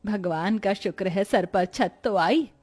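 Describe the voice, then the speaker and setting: A woman's voice: medium pitch, unhurried, darkish tone, breathy edge, faint music behind. A woman voicing a poor, long-suffering, plaintive family woman in a cartoon story. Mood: weary. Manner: plaintive, lamenting. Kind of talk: Hindi cartoon story acting.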